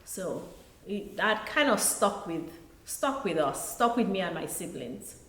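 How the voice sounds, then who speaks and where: A middle-aged woman from Nigeria, an author and pharmacist, telling her life story as an interview guest in a relaxed, reflective way. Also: on the low side, measured pace. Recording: echoey room.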